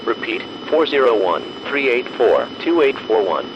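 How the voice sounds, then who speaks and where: A man playing a stern official announcer reading a formal, deliberate, authoritative emergency bulletin. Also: mid-range voice, big pitch swings. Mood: stern, urgent. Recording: noisy, muffled radio-like alert recording.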